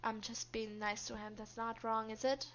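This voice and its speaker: A woman voicing a reserved, guarded young female office worker, narrating a visual novel in a subdued, measured way. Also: on the high side, little pitch movement, a bit bright, a little hiss or hum, lightly acted. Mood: reflective, guarded. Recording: dull, lo-fi microphone.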